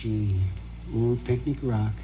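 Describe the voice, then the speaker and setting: A man's voice: mid-range voice, fairly even intonation, slow, close to an everyday voice. An actor playing a plain-spoken, determined small-town man with a folksy, persistent manner in an old-time radio drama. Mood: casual, nostalgic. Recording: muffled, slightly noisy vintage radio recording.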